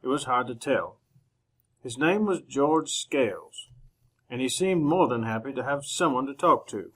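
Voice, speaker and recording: A man as a reserved gentleman, mid-pitched. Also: animated, a little slow. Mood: measured narration. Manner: polite, hesitant, reserved. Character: polite, reserved English gentleman newspaper reporter. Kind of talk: old-time radio acting and narration.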